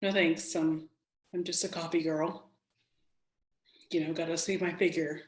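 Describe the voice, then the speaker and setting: A woman acts out a weary female police agent in a script reading. She is guarded and politely brief, with a lowish voice and plenty of room echo. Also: fairly even intonation, unhurried. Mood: curt. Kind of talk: table read of a screenplay. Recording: roomy, echoing home recording.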